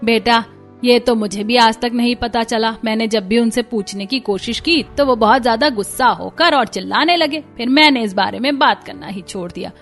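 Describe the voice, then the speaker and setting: A woman's voice: on the high side, moderate pace, fairly bright tone, soft background music. A woman voicing a firm, peacekeeping middle-aged mother-in-law in a cartoon story, reasoning and admonishing. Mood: resigned. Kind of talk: Hindi cartoon story voice acting.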